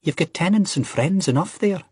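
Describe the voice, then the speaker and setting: A man's voice: mid-range voice, very animated, normal speed, slightly rough, no echo, studio quality, pitched above the performer's own voice. A man voicing an earnest, cheeky young Scottish man in an audiobook, blunt and insistent. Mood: insistent, loyal.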